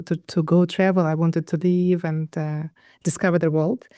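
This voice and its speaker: A woman's voice: fairly low pitch, mellow, clear, resonant tone, dry recording, studio quality. A middle-aged woman from Ukraine, a guest telling her life story in an interview, hesitant and full of restarts. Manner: hesitant, with many restarts and fillers. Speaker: guest, conflict consultant.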